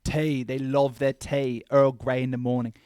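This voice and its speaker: A man's voice: medium pitch, flat delivery, normal speed, darkish tone, clean tone, no echo, studio quality. A man co-hosting a casual podcast who talks in a loose, chatty way, full of "like", and explains bits of history. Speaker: podcast co-host.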